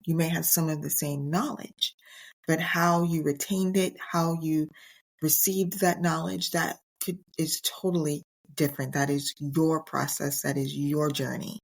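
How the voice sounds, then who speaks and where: A middle-aged woman hosting a motivational podcast on her own, speaking earnestly and emphatically in short, deliberate phrases. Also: deep voice, fairly even intonation, vocal fry. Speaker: podcast host. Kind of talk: motivational solo podcast talk.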